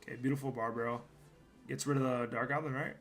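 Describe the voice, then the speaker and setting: A young man streams his game with a hoarse, worn-out voice that still has a bright, forceful edge. He rambles casually and swears freely between plays. He sometimes breaks into loud yells. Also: medium pitch, little pitch movement, fast, soft background music. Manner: profane, rambling, bursts into loud yelling. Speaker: gaming streamer. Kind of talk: casual live gameplay commentary.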